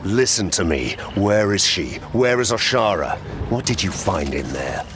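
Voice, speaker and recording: An actor playing a measured, cautious male knight commander who speaks formally and firmly. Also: sits low, sing-song, noisy background. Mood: urgent. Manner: formal, restrained, firm. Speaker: game character.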